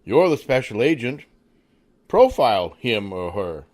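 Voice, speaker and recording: A man playing a suave, wealthy amateur sleuth with measured, precise speech in a radio mystery. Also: mid-range voice, big pitch swings, darkish tone. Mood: cordial.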